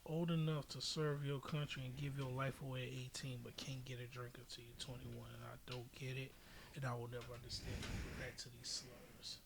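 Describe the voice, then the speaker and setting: A man's voice: medium pitch, little pitch movement, a little fast, vocal fry, crowd noise. A man hosting a podcast in casual chat, talking in an opinionated, profane and rambling way. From military family, lived in Japan growing up. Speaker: podcast host.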